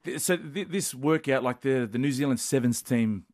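A man's voice: medium pitch, moderate pace, a little mellow. A man chatting casually as a co-host interviewing a guest. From Western Sydney, Australia.

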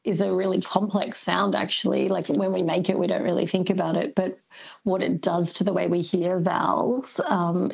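A woman's voice: fairly low pitch, gravelly, a little mellow, slightly rough, highs cut off. A woman with an Australian accent, speaking as an expert guest in a radio discussion, explaining in plain, affirming terms. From Melbourne, Australia.

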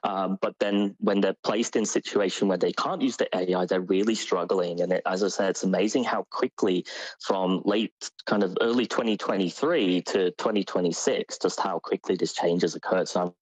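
A man's voice: sits low, fairly even intonation, normal speed, husky, poor audio. A man who lectures at a university, giving expert comment as a radio guest in a concerned way, with many uhs.

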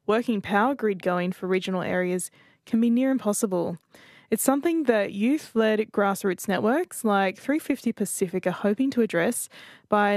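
A woman's voice: medium pitch, a little mellow, no echo, clean studio sound. A woman radio presenter narrating a scripted feature in an informal, upbeat manner.

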